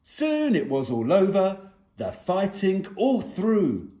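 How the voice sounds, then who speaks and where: Guy telling a rhyming kids story, really slow, theatrical, voice goes up and down a lot. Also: sits high, huge pitch swings, mellow. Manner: theatrical, deliberate. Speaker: puppet show narrator and performer. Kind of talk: rhymed children's story narration.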